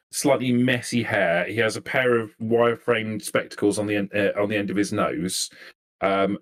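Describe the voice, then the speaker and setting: A man's voice: mid-range voice, fairly bright tone. A man improvising narration as a game master, hesitant and repeating words as he searches for the right one.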